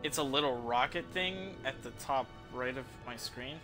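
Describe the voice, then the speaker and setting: A man's voice: mid-range voice, moderate pace. A young man chatting casually and irreverently as he live-streams a video game. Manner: irreverent, swearing. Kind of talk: casual live stream chat over gameplay. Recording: game music behind.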